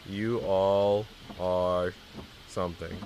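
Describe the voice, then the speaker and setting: Low-voiced man streaming over background noise. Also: level tone, very slow, darkish tone, low-quality recording. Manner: slangy, teasing. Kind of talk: reading a visual novel aloud, casual chat with viewers.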